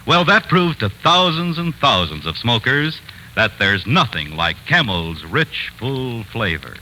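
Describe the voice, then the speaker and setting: A man delivers an emphatic 1940s radio sales pitch. His lowish voice swoops widely and rings out sharp and forceful over faint broadcast hiss. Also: very animated, unhurried, poor audio. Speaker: commercial announcer.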